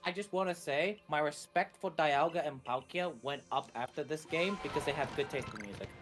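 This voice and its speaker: A man's voice: fairly high pitch, fairly quick, fairly bright tone, faint music behind. A man co-commentating on a video game, casually explaining details as they come.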